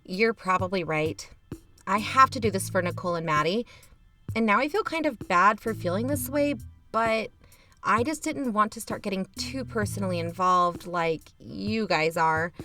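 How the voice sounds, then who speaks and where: A woman's voice: mid-range voice, moderate pace, bright, forceful tone, soft background music, close to an everyday voice. A woman playing a reluctant, sardonic younger sister who hosts an investigative podcast in an audio drama, talking casually. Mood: resigned. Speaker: podcast host character. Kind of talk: conversational audio fiction acting.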